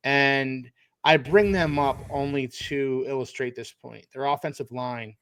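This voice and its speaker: A man's voice: medium pitch, steady tone, a little slow, fairly bright tone, clean tone, no echo. A man from Philadelphia hosting a casual podcast chat, enthusiastic and fond of grand, poetic phrasing.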